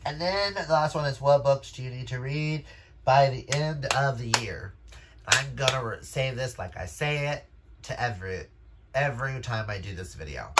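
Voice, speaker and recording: Slow-paced, gushing male book vlogger. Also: mid-range voice, background noise. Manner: gushing, emphatic, exclamatory. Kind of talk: casual chat to camera.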